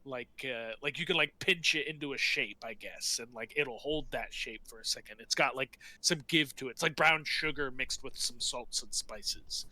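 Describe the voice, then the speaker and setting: A man chatting casually as a player in a tabletop game, rambling in an animated, loosely improvised way with some swearing. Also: mid-range voice, moderate pace, hardly any pauses, no room sound, rough recording.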